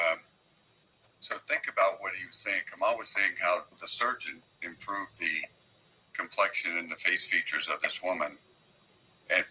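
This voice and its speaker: A man's voice: low-pitched, pushed and bright, a little hiss or hum, low-quality recording. A man joining a livestream as a guest, giving earnest motivational commentary and telling stories with many ums and uhs. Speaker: guest participant.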